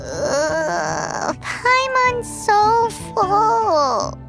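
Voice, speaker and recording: A woman voicing a tiny, childlike fairy companion in a video game, squeaky, cute and blunt. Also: huge pitch swings, very slow, gravelly, loud music behind the voice, full character voice. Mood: weary, blunt. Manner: cute, blunt, refers to self by name. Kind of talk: English game voice acting.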